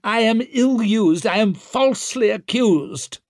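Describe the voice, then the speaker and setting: A man voicing a desperate, self-pitying accused nobleman in an audiobook, shrill, blustering and wheedling. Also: expressive, a little slow, a little mellow, no echo, studio quality. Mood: pleading, indignant. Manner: wheedling, melodramatic, indignant.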